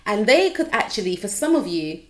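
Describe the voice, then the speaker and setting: Young woman tarot reader, croaky, talkative, middle pitch, direct address to viewer. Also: sing-song, a little fast, slight background noise. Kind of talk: casual tarot reading to camera.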